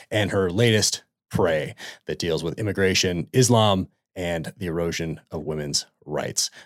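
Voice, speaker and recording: A man hosting a podcast, reading an earnest introduction and then asking halting questions full of fillers. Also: sits low, no room sound, clean studio sound. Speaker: podcast host.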